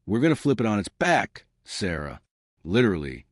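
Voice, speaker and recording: A man's voice: on the low side, big pitch swings, moderate pace, dry recording, studio quality. A man voicing a small, fierce woman starship captain in a sci-fi story, confident and sarcastic. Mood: cocky.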